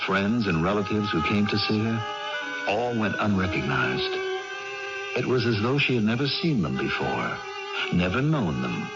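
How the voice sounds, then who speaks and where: An actor playing a grave storyteller in a 1980s radio drama, narrating in a measured, literary manner. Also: male voice, fairly low pitch. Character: grave storyteller recounting a strange family tale. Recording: muffled old radio broadcast with music.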